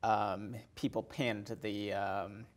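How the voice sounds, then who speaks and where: A man's voice: lowish voice, little pitch movement, takes it slowly. Casual man co-hosting, tentative delivery. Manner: tentative, loosely worded, hedging his opinions. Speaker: film podcast co-host. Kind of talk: casual chat about films.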